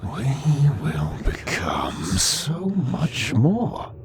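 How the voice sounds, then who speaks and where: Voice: mid-range voice, wildly swooping pitch, drawn-out words, a crowd in the background, exaggerated voice. A narrator voicing an ominous ancient spirit in an audiobook. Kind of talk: audiobook character voice.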